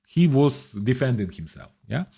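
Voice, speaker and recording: A middle-aged man from Ukraine whose first language is Russian, giving blunt, dismissive unscripted commentary in halting English, often stopping to search for words. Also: mid-range voice, sing-song, gravelly, warm tone, husky.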